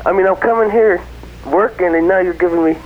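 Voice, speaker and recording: A man's voice: high-pitched, brisk. A man calling in to a radio show, chatting casually and rambling with lots of fillers. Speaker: caller. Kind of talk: casual call-in chat. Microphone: phone line. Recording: dull lo-fi phone line with faint noise.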